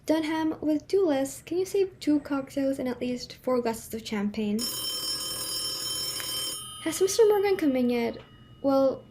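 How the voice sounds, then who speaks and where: A woman's voice: a little high, warm tone, slight background noise, lightly acted. A woman playing a fussy, snobbish middle-aged society hostess and mother in a play reading, with a theatrical, exclamatory, fretful manner.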